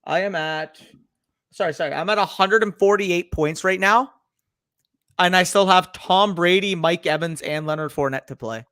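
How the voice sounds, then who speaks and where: A man's voice: high voice, normal speed, fairly bright tone, no echo, studio quality. A young man with a Canadian accent, co-hosting a casual sports podcast and trading swear-laced banter. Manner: swearing, laid-back banter. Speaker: podcast co-host.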